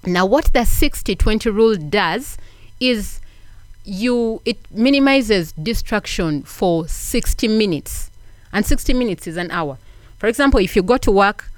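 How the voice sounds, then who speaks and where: A woman's voice: medium pitch, lively intonation, measured pace, no room sound. A woman giving an earnest motivational talk, repeating points for emphasis and asking the listener questions. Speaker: self-help speaker.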